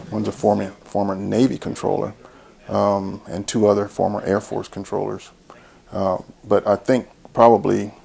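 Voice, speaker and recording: A man's voice: lowish voice, vocal fry, a little mellow, husky. A middle-aged American man, an air traffic controller, talking in an interview on a lapel mic in an office. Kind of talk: interview talk. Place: small indoor office. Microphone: clip-on lapel mic.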